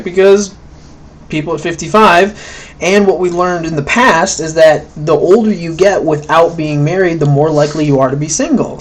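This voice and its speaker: A man giving a casual, conversational recorded lecture, often checking in with "right?" and acting out quoted thoughts. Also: fairly high pitch. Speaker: college instructor.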